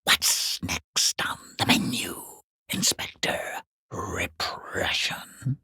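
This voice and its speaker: A man playing a mocking, sinister unseen watcher in a video game, in a hoarse whispering rasp with taunting, drawn-out phrasing. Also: sits low, pushed and bright, no echo, studio quality, heavily acted. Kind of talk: video game character voice lines.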